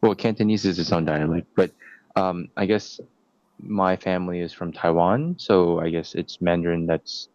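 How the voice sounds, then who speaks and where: A young man with a very low voice answers interview questions in a halting, casual way, stopping often to think. The sound is dry and a little dull, like a lo-fi recording. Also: darkish tone, no echo. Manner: hesitant, many fillers and restarts. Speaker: interviewee, nursing student.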